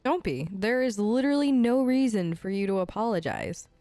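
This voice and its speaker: A woman hosting a let's play, improvising in character in a casual, halting, supportive conversational way. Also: mid-range voice, very animated, mellow, no room sound.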